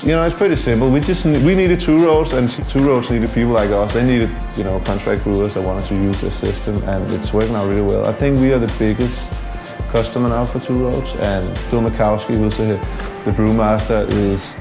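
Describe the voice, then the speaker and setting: A man's voice: fairly low pitch, fairly even intonation, a little fast, vocal fry, hardly any pauses. A man in his thirties, a contract brewer, talking enthusiastically in an interview with many restarts. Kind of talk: casual interview talk. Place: indoors by a large window. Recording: music under the voice.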